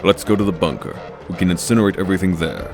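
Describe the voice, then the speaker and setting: An actor playing a stern, condescending senior scientist in an audio drama, speaking in measured, authoritative phrases. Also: male voice, sits low, gravelly, music in the background. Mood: stern, commanding. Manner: measured, authoritative, clipped orders.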